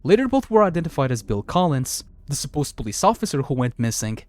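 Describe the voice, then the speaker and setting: A man's voice: fairly high pitch, sing-song, fast, no room sound. A man narrating a scripted video review of a horror series as its host.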